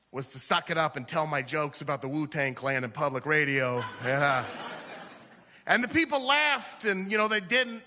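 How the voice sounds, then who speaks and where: An American man performs comedic personal storytelling in a conversational, filler-peppered way. His voice is very bright and sharp and sits a bit high for a man. It rings through a live hall with long reverb, like a stage microphone in a theater. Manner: conversational, peppered with "like" and "you know". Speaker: stand-up comedian.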